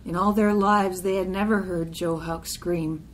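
A woman's voice: fairly low pitch, fairly even intonation, measured pace, a little hiss or hum. A woman reading a literary poem aloud as part of a group reading. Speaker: poetry reader.

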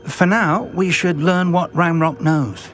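An actor playing a thoughtful, cautious older professor and mentor in a video game, measured and gentlemanly. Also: male voice, a little high, steady tone, faint music behind. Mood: pondering. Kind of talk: video game cutscene acting.

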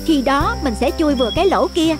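High-pitched greedy cartoon rooster. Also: female voice, animated, fairly quick, over music. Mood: scheming, eager. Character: eager, greedy, stubborn wild rooster. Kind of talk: children's cartoon acting.

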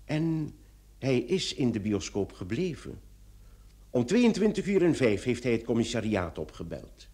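An actor playing a formal, dryly probing police commissioner in a radio play, measured and sometimes hesitant. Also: male voice, mid-range voice, sing-song, dark tone. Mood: businesslike. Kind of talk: old radio play acting.

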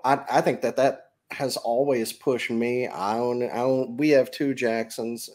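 A middle-aged man hosting an interview over a video call, asking questions haltingly and rephrasing as he goes. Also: mid-range voice, a little mellow. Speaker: podcast host. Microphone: video call webcam mic.

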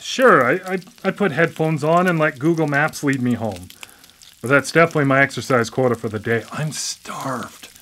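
Amiable blind younger brother, hesitant, bright higher-pitched voice, faint noise, radio drama. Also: male voice, moderate pace. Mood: weary. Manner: hesitant, stammering. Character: hapless, amiable blind man, younger brother of his housemate. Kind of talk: contemporary radio drama acting.

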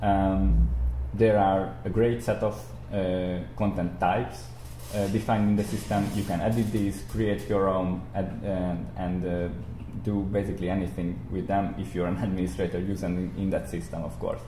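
Young male software instructor, low and slightly mellow, halting explanatory delivery, roomy sound with light noise. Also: flat delivery. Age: young adult. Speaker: tutorial instructor. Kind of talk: explanatory software screencast lecture. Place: indoor room, speaking beside a screen recording. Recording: roomy echo, audible background noise.

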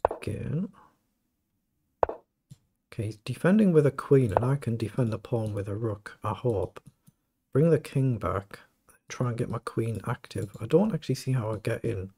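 Croaky middle-aged male streamer, gravelly, mid-pitched, thinks aloud over games; noisy home recording with clicks. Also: expressive, moderate pace, long pauses. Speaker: chess streamer. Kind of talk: live game commentary.

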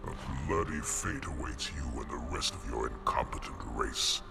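An actor playing an arrogant, cruel alien brute chieftain in a game cutscene, gruff and mocking. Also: male voice, very deep voice, moderate pace, over music, exaggerated voice. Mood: contemptuous. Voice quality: gravelly, mocking.

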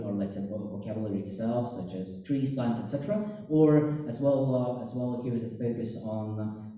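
Male panel host, dark muffled tone, far from the mic in a big echoey hall. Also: lowish voice. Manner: halting, many fillers and restarts. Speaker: panel moderator. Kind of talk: hosting a panel discussion. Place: large conference hall. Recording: roomy hall, distant mic, dull sound.

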